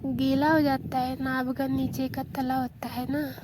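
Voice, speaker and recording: A woman telling an intimate romantic story in a confessional style, with drawn-out, repetitive phrasing. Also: sits high, little pitch movement, very noisy. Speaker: storyteller in a staged intimate phone conversation.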